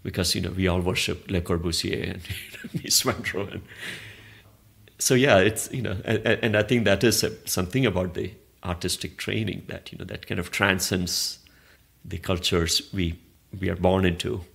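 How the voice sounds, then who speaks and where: A man's voice: low-pitched, fairly even intonation, moderate pace, gravelly, fairly bright tone. A man, a Malayalam speaker, answering interview questions in a thoughtful, meandering way with frequent restarts. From southern India. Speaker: interview guest, arts organizer. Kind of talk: reflective conversational interview.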